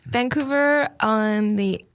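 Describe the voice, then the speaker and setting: A woman's voice: on the high side, slow. A woman co-hosting a podcast with casual, joking quips. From Canada. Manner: joking, quippy. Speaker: podcast co-host. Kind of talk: casual studio banter. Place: studio in Shanghai.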